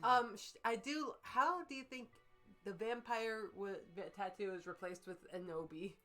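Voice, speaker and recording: A woman's voice: medium pitch, moderate pace, a bit bright, faint music behind. A woman in casual, jokey, profane banter and mock-dramatic reading, stumbling over odd words as she goes. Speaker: co-host reading fanfiction aloud and critiquing it.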